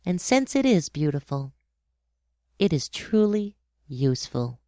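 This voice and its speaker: A woman's voice: low-pitched, sing-song, warm tone, dry recording, studio quality. Woman playing an earnest, lilting little prince. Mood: thoughtful. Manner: deliberate, innocent, questioning. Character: curious, earnest little prince, a young boy. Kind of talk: acted storybook audio drama.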